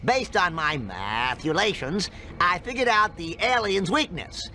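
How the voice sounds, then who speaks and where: A man voicing an excitable nerdy cartoon scientist in a game. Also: high-pitched, unhurried, exaggerated voice. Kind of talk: cartoon game cutscene acting.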